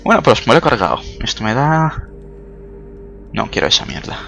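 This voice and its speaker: A young man giving casual, jokey gameplay commentary, excitable and quick to swear. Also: medium pitch, highs cut off. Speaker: gamer. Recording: clear game music behind.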